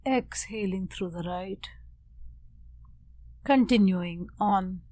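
A woman meditation teacher giving calm, measured guided instruction with pauses and Sanskrit mantra. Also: mid-range voice.

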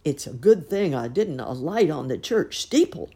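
A woman's voice: sits low, very animated, normal speed, dark tone, husky, heavily acted. A woman voicing a fat lady pig who squeals and grunts in a storybook. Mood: relieved.

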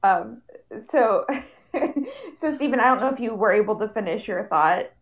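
A woman's voice: a little high, lively intonation, measured pace. Woman hosting a conversational panel. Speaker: panel host. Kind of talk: conversational live panel discussion.

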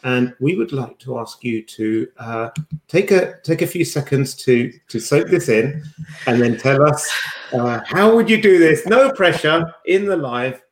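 Male co-host, mid-pitched, casual teasing delivery, noticeably roomy, echoing recording. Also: expressive, measured pace. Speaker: podcast co-host. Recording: echoey, roomy sound.

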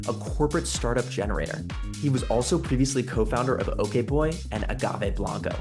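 A man hosting a podcast, reading a scripted episode introduction and then putting a question to his guest. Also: mid-range voice. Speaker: podcast host. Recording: music bed under the voice.

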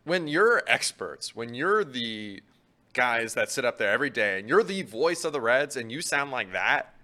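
A man's voice: medium pitch, very animated, normal speed, bright, forceful tone. A man co-hosting a sports show, talking casually.

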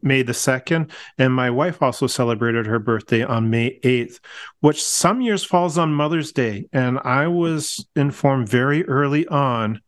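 A middle-aged man hosting a casual podcast, friendly and warm, often restarting his words and laughing gently. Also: mid-range voice, unhurried. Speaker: podcast host.

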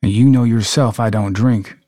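A man's voice: lowish voice, steady tone, normal speed, warm tone, dry recording, studio quality, lightly acted. A man playing a terrified, folksy Southern fisherman with a put-on accent in an amateur dramatic reading. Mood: stunned.

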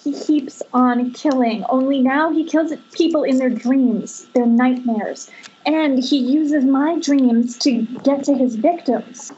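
A woman's voice: sits high, slow pace, warm tone, dull audio. A woman playing an anxious, guilt-ridden young mother in an earnest amateur script read over a noisy internet call. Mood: anxious, urgent. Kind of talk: amateur screenplay table read.

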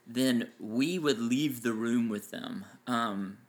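A man with a loud voice co-hosting a casual parenting podcast, explaining his points with enthusiasm. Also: medium pitch, takes it slowly. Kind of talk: casual chat. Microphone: farther from mic than co-host.

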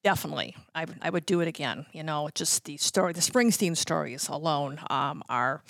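A middle-aged American woman reminiscing casually as a podcast guest, telling stories in an animated way and often interrupting herself. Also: sits low, fairly quick, creaky, no room sound. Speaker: podcast guest, former radio promotions worker.

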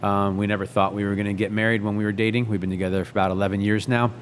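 Man, thirties, chef interview guest, lowish voice, easygoing, halting with fillers, faint background hiss. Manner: relaxed, easygoing, many fillers and restarts. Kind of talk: casual reflective interview.

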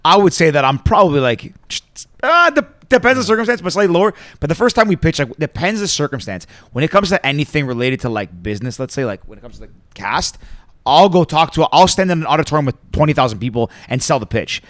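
A man's voice: a little high, fast, no echo, clean studio sound. A man, a guest talking casually on a podcast, full of likes and swearing. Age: 30s. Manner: animated, swears a lot, says like often. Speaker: guest, app co-founder.

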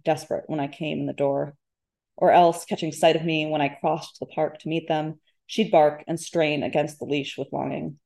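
Low, mellow-voiced woman reading fiction. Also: creaky. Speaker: debut author, guest. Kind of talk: literary reading of first-person fiction.

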